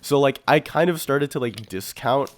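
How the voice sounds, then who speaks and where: A man's voice: medium pitch, expressive, moderate pace, no echo. A young man co-hosting a podcast, chatting casually and telling stories in informal, slangy speech. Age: young adult. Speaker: podcast co-host. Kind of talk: casual chat.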